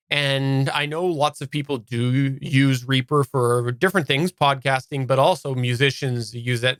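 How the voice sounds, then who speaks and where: A man's voice: medium pitch, fairly bright tone, dry recording, clean studio sound. A man co-hosting a podcast, chatting casually and relaying listener comments in a live discussion. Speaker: podcast co-host.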